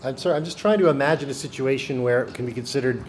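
Frank, animated man at a panel mic. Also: medium pitch, very fast, slight background noise. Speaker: panelist, public servant. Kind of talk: panel Q&A answer. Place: university lecture room, indoors. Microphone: table microphone, seated.

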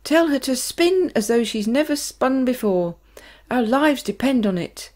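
A woman's voice: high-pitched, lively intonation, a little slow, darkish tone, voice pushed up. Storybook boy hero, brave and bossy, urgent commands, acted by a woman. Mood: commanding. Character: brave young boy taking charge as captain.

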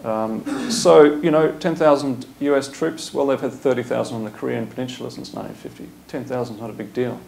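A man's voice: medium pitch, steady tone, brisk, gravelly, few pauses, darkish tone, poor audio. A man, a former diplomat speaking bluntly as a guest at a panel talk in a lecture room. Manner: blunt, opinionated, speaks in short punchy statements. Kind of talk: panel conversation. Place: lecture room with a seated audience.

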